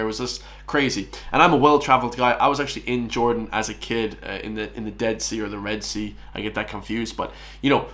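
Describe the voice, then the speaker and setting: A man's voice: mid-range voice, fairly bright tone. A man hosting a reaction video, blunt and emphatic, repeating himself and laughing now and then. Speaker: YouTube reaction host. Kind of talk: reaction commentary.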